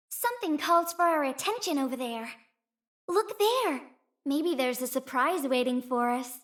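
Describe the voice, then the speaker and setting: A young woman voicing a gentle, earnest flying messenger in a video game, with a soft and sincere delivery. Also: very high voice, exaggerated voice. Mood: bright, eager. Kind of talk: video game character voice lines.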